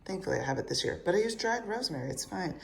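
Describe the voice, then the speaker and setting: A young woman with a husky morning voice, chatting playfully to viewers in a cook-along vlog from her kitchen. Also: on the low side, animated, rapid-fire, slight background noise. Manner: bubbly and playful, talks to viewers while cooking. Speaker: cooking vlogger. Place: home kitchen. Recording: echoey kitchen.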